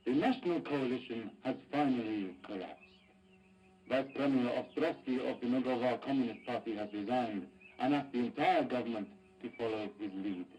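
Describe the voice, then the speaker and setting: An actor playing a grave radio news correspondent in a video game, reading a bulletin in a measured, formal way. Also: male voice, medium pitch, a little mellow, lightly acted, low-quality recording.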